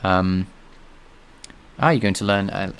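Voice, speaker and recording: A man's voice: sits low, takes it slowly, a bit bright, clean tone. A man streaming himself coding, thinking aloud and chatting with viewers. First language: English. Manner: thinks aloud while coding, answers chat. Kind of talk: casual live-coding stream chat.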